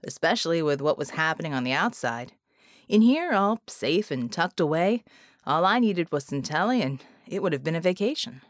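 A woman's voice: sits low, big pitch swings, moderate pace, dry recording, studio quality. A woman voicing a sly male shapeshifting impostor in an audiobook, suave, familiar and casually teasing. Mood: breezy, cocky.

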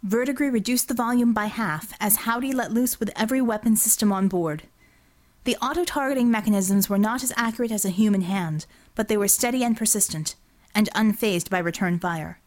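Audiobook narrator, female, mid-pitched voice, scripted fiction storytelling. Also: brisk.

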